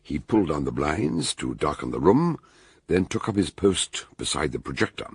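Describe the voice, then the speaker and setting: Blunt old-school detective doctor for radio drama, very husky, voice swings all over. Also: male voice, rumbling low, big pitch swings, moderate pace, exaggerated voice. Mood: measured narrating. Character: authoritative, blunt, eccentric male amateur detective doctor. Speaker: detective and narrator. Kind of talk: radio drama acting and narration.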